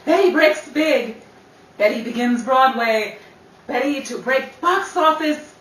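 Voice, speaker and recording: Distant, roomy, noisy radio-play recording of a woman acting a high-voiced Broadway leading lady from the 1930s. She is brassy, bossy and theatrical, swooping through exasperated wisecracks as she runs rehearsals. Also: animated, slow, a bit bright, full character voice. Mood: exasperated, sarcastic.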